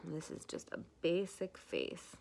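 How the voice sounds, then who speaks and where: A woman's voice: on the low side, wildly swooping pitch, unhurried, vocal fry, darkish tone. A woman hosting a casual at-home makeup tutorial, chatty and rambling with many fillers.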